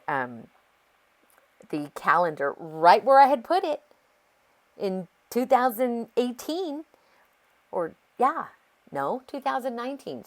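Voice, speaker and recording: An American woman with a congested, sore-throat voice, chatting casually and rambling as she narrates a craft process. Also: mid-range voice. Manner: chatty, rambling, easily sidetracked. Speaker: craft video host.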